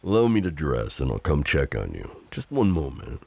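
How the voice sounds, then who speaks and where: An extremely low, crackly-voiced knight, acted by a man, heard close and dry. He speaks in a courtly, tender way for a romantic audio roleplay, with lively swings in tone and a teasing, overprotective temper. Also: moderate pace, vocal fry, no echo, exaggerated voice. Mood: tender, concerned. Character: loyal, teasing, overprotective male knight hiding a princess. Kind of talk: improvised romantic audio roleplay, addressing the listener.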